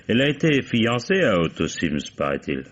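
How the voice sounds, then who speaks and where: A shrewd police commissioner with a very low voice. He is measured and mock-polite, quietly ironic in a radio mystery style. The recording is dull and dated. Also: big pitch swings, slightly rough. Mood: probing, assured. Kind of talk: vintage French radio mystery acting.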